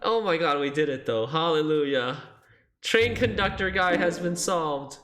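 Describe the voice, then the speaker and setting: A streamer giving live gameplay commentary, thinking aloud in an animated, self-deprecating way. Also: medium pitch, unhurried, faint music behind.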